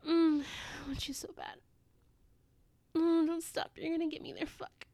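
A woman acts out an amorous, playful female lover in an intimate audio drama. The voice is high and notably creaky, breathy and often near a whisper. The delivery is slow and unhurried, recorded close and dry. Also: fairly even intonation, exaggerated voice. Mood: aroused, breathless. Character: amorous, playful adult woman at an office party. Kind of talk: intimate erotic audio roleplay.

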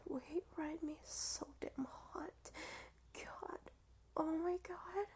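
A woman acting as a guilt-torn young woman who pleads in hushed, repeated whispers. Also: sits high, sing-song, normal speed, creaky, full character voice. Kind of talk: amateur audio roleplay.

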